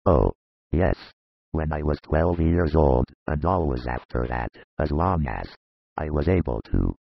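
A deep gravelly remorseful disciple. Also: male voice, expressive, measured pace, dry recording, dull audio, full character voice. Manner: heavy, confessional, brooding. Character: grieving remorseful male disciple, adult. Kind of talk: audiobook reading of a religious narrative.

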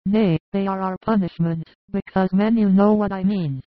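A woman's voice: mid-range voice, fairly even intonation, normal speed, dry recording, lightly acted. A woman playing a humble, overworked village mother in a scripted audiobook drama, earnest and deferential. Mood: aggrieved. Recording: dry, narrow phone-like sound.

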